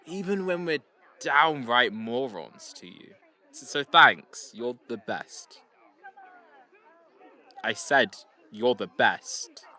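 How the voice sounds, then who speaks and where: A man's voice: medium pitch, lively intonation, takes it slowly, long pauses, pushed and bright, exaggerated voice. A man playing a drunk, boisterous crew member who slurs and turns sentimental. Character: drunk, rowdy young male crew member.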